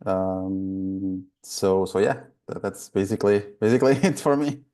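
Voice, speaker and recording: A backend developer from Portugal introducing himself in a hesitant, halting way on a video call. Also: male voice, low voice, dark tone, faint music behind. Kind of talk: casual self-introduction.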